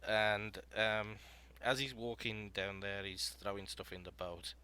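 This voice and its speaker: A man hosting a writing podcast panel, explaining prompts and telling scenes out loud in a casual, rambling way. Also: lowish voice, fairly even intonation, a little slow, smooth. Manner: explanatory, storytelling, filler-heavy.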